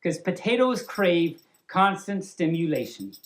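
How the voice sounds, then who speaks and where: A woman's voice: on the low side, big pitch swings, a little slow. Playful woman reading a story aloud. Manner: playful, giggly, stumbles over words and riffs on them. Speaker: storyteller, show host. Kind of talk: reading a humorous story aloud with casual asides.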